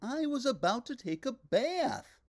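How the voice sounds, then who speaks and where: A woman's voice: sits high, very animated, unhurried, dry recording, studio quality, voice pushed up, heavily acted. A woman voicing an innocent, earnest young male puppet pal with a plaintive manner, in a children's storybook. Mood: earnest, protesting.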